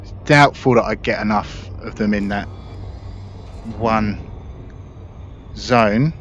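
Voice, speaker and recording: Slightly dull, low-voiced man commentating gameplay. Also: takes it slowly, fairly bright tone. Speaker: gaming video host. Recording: slightly dull audio, faint game noise.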